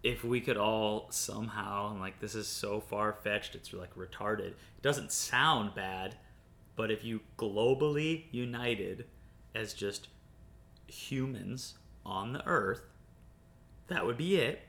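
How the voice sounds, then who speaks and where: A young man hosting a casual podcast chat, rambling and laughing often, drifting into quieter asides. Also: slow, gravelly. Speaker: podcast host. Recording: faint background noise and rumble.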